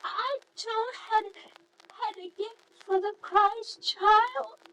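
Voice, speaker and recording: A woman's voice: extremely high pitch, slow, pushed and bright, a little hiss or hum, full character voice, rough recording. A woman voicing an earnest, humble young shepherd boy in an old-fashioned radio nativity drama, with archaic storybook diction. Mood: pleading, sad.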